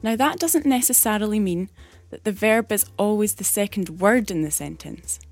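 A woman explaining a grammar rule in a clear, patient teaching manner, as a recorded segment. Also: mid-range voice, moderate pace, no echo, faint music behind. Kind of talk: scripted explanation. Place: recorded separately from the studio.

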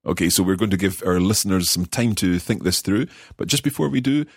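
A man hosting a language podcast lesson, speaking clearly and patiently and repeating phrases slowly. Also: low voice, lively intonation, dry recording, clean studio sound. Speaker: language course host. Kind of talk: scripted podcast lesson chat.

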